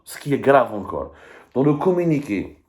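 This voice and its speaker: A man's voice: mid-range voice, sing-song, moderate pace, darkish tone. A man with an Algerian accent giving live political commentary in an emphatic, argumentative and repetitive way. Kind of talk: live political commentary, reading and dissecting statements.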